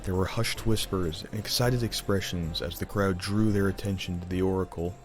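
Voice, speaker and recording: Male narrator for fantasy audio drama: very low voice, theatrical, deliberate storytelling over music. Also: steady tone.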